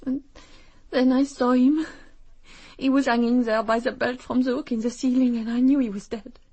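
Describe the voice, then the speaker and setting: A woman playing a sensitive, fragile rich young woman in a British radio drama, emotional and breathy. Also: on the high side, steady tone, normal speed, dark tone, muffled recording. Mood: distressed. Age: young adult.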